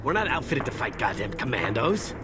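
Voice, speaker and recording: An actor playing a wounded mercenary grunt in a video game, in pain, stammering and complaining bitterly. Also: male voice, mid-range voice, big pitch swings, a little fast, music in the background, close to an everyday voice. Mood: grumbling, strained. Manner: sweary, stammering, whining complaints. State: in pain, bleeding. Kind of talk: video game cinematic acting.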